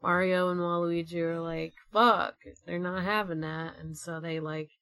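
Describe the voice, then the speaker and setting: Lowish-voiced woman chatting casually, dry sound. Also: flat delivery, measured pace, a bit bright, no echo. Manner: loose, conversational, peppered with "like". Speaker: podcast co-host. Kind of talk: casual chat commentary.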